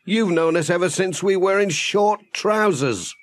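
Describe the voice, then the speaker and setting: A man's voice: sits high, unhurried, pitched above the performer's own voice. A man voicing a friendly, easygoing village postman in a children's audiobook, homely and good-humoured. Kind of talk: children's audiobook character reading.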